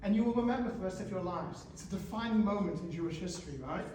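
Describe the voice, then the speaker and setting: A man's voice: high-pitched, racing, slight background noise. Man lecturing in an echoey school hall. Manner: animated, asks the audience questions. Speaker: guest speaker. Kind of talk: school talk to teenagers. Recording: echoey hall, speaker far from mic.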